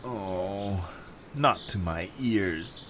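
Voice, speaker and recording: A man's voice: deep voice, drawn-out words, a bit bright, a little hiss or hum, heavily acted. A man playing a sarcastic, weary young male adventurer in an audio drama, with a dry manner. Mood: wry.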